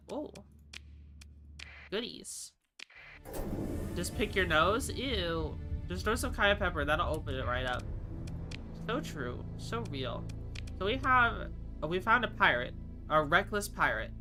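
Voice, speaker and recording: A man with a stuffy, congested nasal voice, rambling casually as he plays a game on stream and answers chat. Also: fairly high pitch. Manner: chatty, rambling, thinks aloud and answers chat. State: just woke up. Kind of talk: casual live game commentary.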